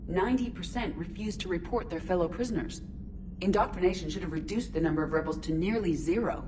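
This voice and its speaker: A woman playing an earnest, logical, curious artificial intelligence in a video game, speaking in formal, measured, precise phrases. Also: lowish voice, noisy background, lightly acted. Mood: earnest, puzzled. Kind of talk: scripted video game voice acting. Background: music.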